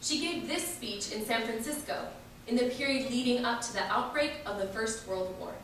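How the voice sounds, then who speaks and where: A woman's voice: a little high, moderate pace. A woman narrating at an event, reading a scripted biographical introduction aloud. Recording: very echoey hall, distant, faint noise.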